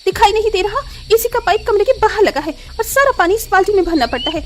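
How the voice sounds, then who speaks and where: A woman playing a shrill, sulky young sister-in-law. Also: lively intonation, quick pace, a little hiss or hum. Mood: irritated. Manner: whiny, snappish complaining. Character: sulky, haughty young city-minded sister-in-law, complaining.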